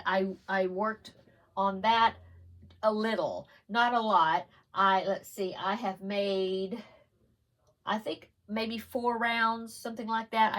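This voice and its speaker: A woman's voice: mid-range voice, fairly bright tone. Chatty woman with a stuffy nose. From Texas. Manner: chatty, giggly, rambling with frequent fillers. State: stuffy nose on one side. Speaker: crochet podcast host. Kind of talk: casual show-and-tell chat.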